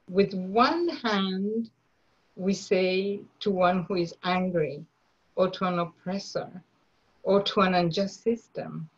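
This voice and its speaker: A woman from Argentina with an Argentine accent, leading an online workshop over a video call in a slow, earnest way. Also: lowish voice. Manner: slow, deliberate, earnest delivery. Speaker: nonviolence trainer. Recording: dull lo-fi online audio.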